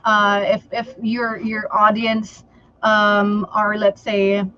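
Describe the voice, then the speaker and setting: A woman from the Philippines whose first language is Tagalog, giving sales advice in a livestream interview and often pausing on "okay" and "um". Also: mid-range voice, monotone, bright, forceful tone, no room sound, highs cut off. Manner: slow and deliberate, many fillers like okay and um. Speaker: sales trainer guest.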